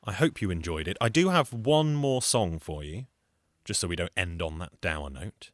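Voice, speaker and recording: A man telling a folktale as a storyteller, with a measured, dramatic and lyrical delivery. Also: sits low, animated, no echo, studio quality. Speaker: storyteller and folk singer. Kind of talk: theatrical folktale storytelling to an audience. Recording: music behind the voice. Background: acoustic guitar music.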